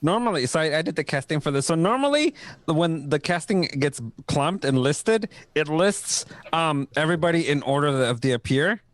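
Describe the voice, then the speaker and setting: A man's voice: a little high, lively intonation, moderate pace, dry recording. A man hosting a casual livestream chat, talking in a joking, rambling way. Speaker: show host and casting director.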